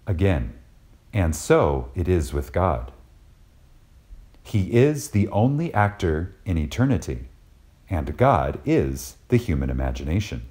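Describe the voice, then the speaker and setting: A man reading a scripted lecture dramatically and reverently, with deliberate pauses and emphasis. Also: low voice, a little mellow. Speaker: reader of a recorded lecture.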